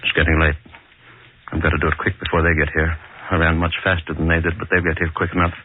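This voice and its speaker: A man's voice: low-pitched, flat delivery, fast, a little hiss or hum, close to an everyday voice. An actor playing a tormented middle-aged hangman, brooding and repeating himself in 1940s radio horror style. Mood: despairing, brooding. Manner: brooding, repetitive, melodramatic. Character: tormented middle-aged hangman, bitter, haunted, guilt-ridden. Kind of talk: 1940s radio horror acting, inner monologue. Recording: muffled vintage 1940s radio recording.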